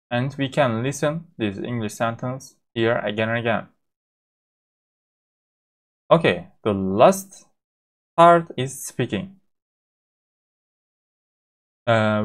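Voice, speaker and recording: A man with a Turkish accent, a native Turkish speaker, teaching a course by walking through a software demo, with frequent fillers and halting restarts. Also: mid-range voice. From Turkey. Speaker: course instructor.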